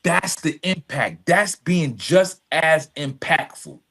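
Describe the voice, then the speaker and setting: Husky-voiced man, earnest and emphatic. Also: on the high side, lively intonation, slow, a bit bright. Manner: earnest, emphatic, repeating phrases and checking in with "right?". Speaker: podcast guest, advocate and executive director. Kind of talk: conversational storytelling with earnest testimony.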